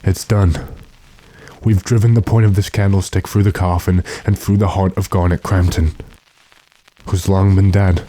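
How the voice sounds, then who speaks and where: A man playing a calm, commanding gentleman vampire hunter in a radio horror drama, deliberate and authoritative. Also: low-pitched, no room sound, close to an everyday voice, poor audio. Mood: solemn.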